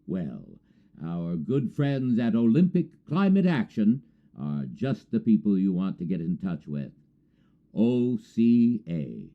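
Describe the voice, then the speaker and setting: A man hosting a program, reading a scripted announcement in a deliberate, earnest promotional manner. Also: on the low side, very animated, narrow, tinny audio. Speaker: program host and narrator.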